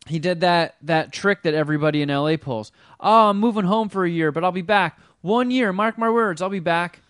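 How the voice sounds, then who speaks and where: A man co-hosting a podcast in casual chat, wry and deadpan, with some swearing. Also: high voice, fairly quick, clean tone, dry recording, clean studio sound. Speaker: podcast co-host, screenwriter.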